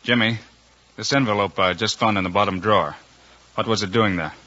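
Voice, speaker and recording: An actor playing a steady, firm and authoritative frontier marshal in a 1950s radio western. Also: male voice, on the low side, a little fast. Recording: dull, noisy old radio recording.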